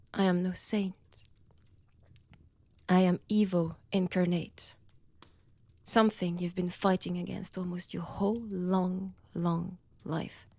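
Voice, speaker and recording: Woman acting as ancient vampire villainess, French accent, unhurried delivery, seductive, mocking, menacing, breathy whispers, dry intimate audio drama. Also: medium pitch, darkish tone, dry recording. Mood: taunting. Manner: slow, taunting, theatrical, dropping often into breathy whispers.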